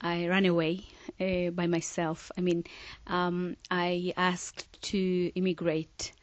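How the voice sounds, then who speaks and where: A woman from Iran, a singer being interviewed, who speaks haltingly and often stops to search for words. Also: lowish voice, monotone, dry recording. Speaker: singer guest.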